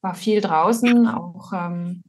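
A woman in her forties from eastern Germany with a German accent and a slightly husky voice, telling her story in a halting, reflective way. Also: on the low side, a little mellow, a little hiss or hum. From Potsdam, eastern Germany. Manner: halting, thoughtful. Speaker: guest, author. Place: indoor room at home, on a video call.

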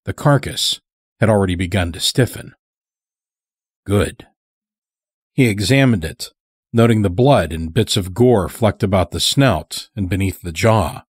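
A man hosting and narrating a horror fiction podcast, reading from a script in a measured, deliberate way. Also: on the low side, slightly rough, no room sound, clean studio sound. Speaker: podcast host and story narrator.

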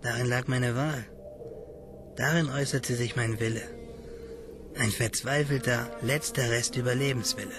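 An actor playing a shaken survivor in a radio drama, wry and given to solemn, philosophical musing. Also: male voice, mid-range voice, steady tone, normal speed, pauses often, over music, close to an everyday voice. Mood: wry, intense. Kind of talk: German radio drama dialogue.

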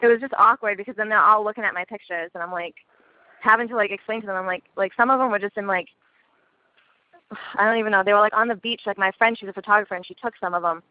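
A young woman telling a story in casual chat on a phone call, chatty and quick to swear. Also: medium pitch, no echo. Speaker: caller. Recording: dry tinny phone line.

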